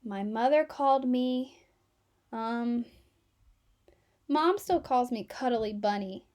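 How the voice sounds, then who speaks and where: A woman's voice: a little high, slow pace, darkish tone. Woman voicing emphatic male schoolteacher, patient but exasperated. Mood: sheepish. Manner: instructive, emphatic.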